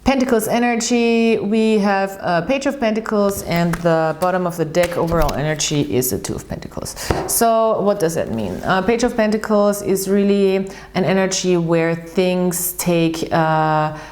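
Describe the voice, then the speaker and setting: A woman with a fairly low voice hosts a casual tarot reading in English. She talks in a chatty, earnest way and often restarts sentences. She repeats words for emphasis. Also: moderate pace, few pauses, a little mellow, a little hiss or hum. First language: German. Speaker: tarot reader and channel host. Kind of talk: casual tarot reading while shuffling cards.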